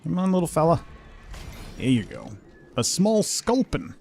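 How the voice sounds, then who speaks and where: A man's voice: on the high side, wildly swooping pitch, measured pace, gravelly, a little mellow, slight background noise. A man doing casual solo commentary on a gaming stream, muttering to himself with playful asides. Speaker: game streamer.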